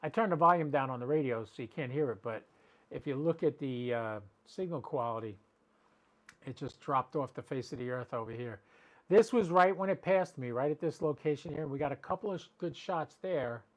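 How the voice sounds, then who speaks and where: A man's voice: fairly high pitch, normal speed. A man chatting casually and rambling as he hosts an amateur radio livestream, talking directly to his viewers.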